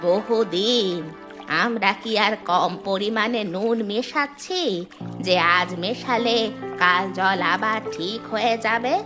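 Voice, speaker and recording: A woman's voice: medium pitch, takes it slowly, natural voice. A woman voicing a bossy, scheming village wife. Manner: impatient, scolding. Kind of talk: dubbed cartoon dialogue. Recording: music in the background.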